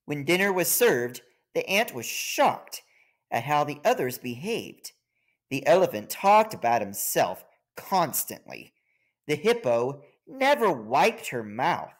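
A man reads a children's story aloud as narrator. He takes his time, and his pitch moves expressively up and down through each sentence. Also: very animated, slow. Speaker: storybook reader.

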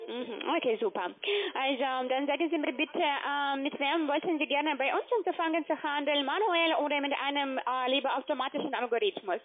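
High-voiced foreign-accented saleswoman on the phone. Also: normal speed, hardly any pauses. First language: not German. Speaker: telephone sales agent. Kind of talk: scripted sales pitch on a phone call. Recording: thin phone line.

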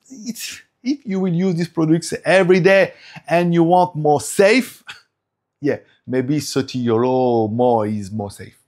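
A man from France with a strong French accent, native French, speaking English in a loose, broken way. His voice sits a little high for a man, and he chuckles between phrases while reviewing tech gear. He sounds like a friendly home vlogger. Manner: lively, laughs often, broken English. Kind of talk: casual product review.

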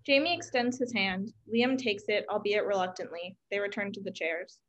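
A woman's voice: mid-range voice, normal speed, fairly bright tone. A young woman reading stage directions as scripted narration over a video call. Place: room at home, indoors. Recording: dull lo-fi video call audio.

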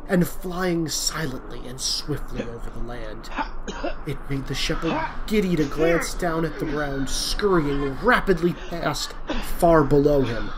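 A man narrating a scripted folk tale as the storyteller of an audio drama. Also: mid-range voice.

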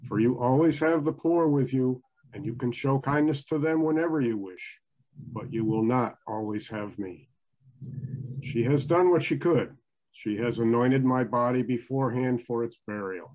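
Older man voicing solemn teacher, scripture reading, measured delivery, muffled video-call audio. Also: mid-range voice, darkish tone, lightly acted. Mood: firm, solemn.

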